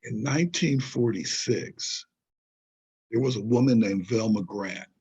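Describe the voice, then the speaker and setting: Older man, husky voice, digressive. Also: medium pitch, unhurried, darkish tone, muffled recording. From Southern California. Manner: digressive, emphatic, checks in with rhetorical questions. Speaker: guest, historian and author. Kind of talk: interview conversation.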